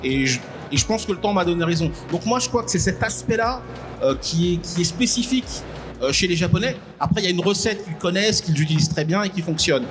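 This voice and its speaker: A man from Congo, a guest on a live podcast panel, explaining his ideas in a halting way and repeating words as he thinks. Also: high voice, animated, music in the background. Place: convention stage before a live audience. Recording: noisy live event ambience.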